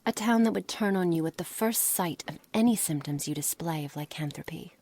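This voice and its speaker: A woman playing a coolly menacing, scholarly witch in an ASMR roleplay, speaking softly in formal, measured words with breathy, hushed passages. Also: fairly low pitch. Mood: coldly menacing. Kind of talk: ASMR roleplay dramatic monologue.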